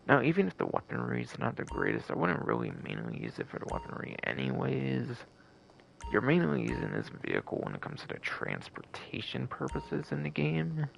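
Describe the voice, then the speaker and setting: A man's voice: medium pitch, huge pitch swings, fairly quick, vocal fry, lo-fi sound. A man giving casual live game stream commentary in a rambling, repetitive way full of filler words, with some casual swearing.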